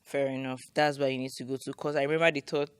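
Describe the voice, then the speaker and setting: A woman giving casual, conversational advice as a co-host, informal and animated. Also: rumbling low, fast talker, clear, resonant tone, no echo.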